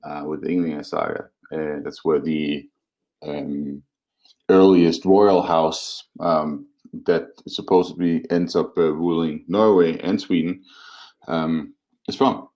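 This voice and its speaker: A man talking as an academic podcast co-host, explaining things informally in a halting, thoughtful way. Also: rumbling low, little pitch movement, warm tone, lo-fi sound.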